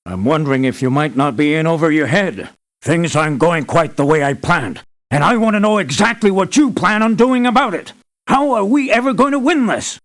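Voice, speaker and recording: An actor playing a blunt, anxious and demanding boss who hires mercenaries in a video game, speaking in an emphatic, articulate, businesslike way. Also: male voice, a little high. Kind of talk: video game character voice lines.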